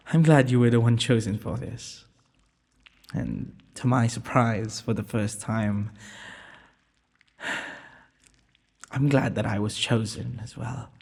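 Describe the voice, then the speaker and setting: A man's voice: medium pitch, gravelly, darkish tone, husky, exaggerated voice. A man playing a shy, tender, self-doubting young prince in a romantic audio roleplay, speaking in hesitant, formal courtly phrases. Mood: loving, sincere. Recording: long hall-like reverb.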